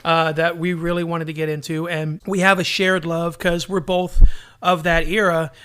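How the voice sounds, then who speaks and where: A man's voice: fairly high pitch, flat delivery, normal speed, bright, forceful tone, smooth. A middle-aged man co-hosting a podcast in casual, bantering chat. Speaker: podcast co-host.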